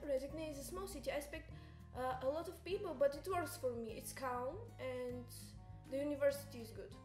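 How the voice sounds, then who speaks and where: A young woman speaks earnestly in a high voice, pausing on fillers. The room gives her voice a roomy ring. Music plays underneath. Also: darkish tone. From Bulgaria. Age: 20s. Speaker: exchange student. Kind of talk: casual interview.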